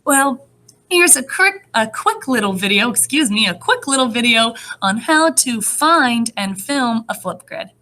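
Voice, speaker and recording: A woman speaking as a first-grade teacher, reading and explaining to young children in an animated, encouraging way. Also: on the high side, unhurried. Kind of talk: read-aloud and lesson addressed to young children.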